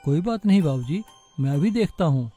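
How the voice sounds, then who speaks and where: Casting: man doing a courteous young villager for cartoon tale, earnest, mellow tone over soft music. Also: fairly high pitch, lively intonation, brisk, lightly acted. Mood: concerned. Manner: respectful, earnest.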